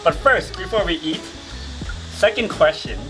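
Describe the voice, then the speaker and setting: A man's voice: sits high, animated, a little slow, music in the background, low-quality recording. Playful Thai man chatting in a pool. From Thailand. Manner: playful, laughs easily. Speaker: travel vlogger. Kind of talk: casual chat. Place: outdoor resort pool.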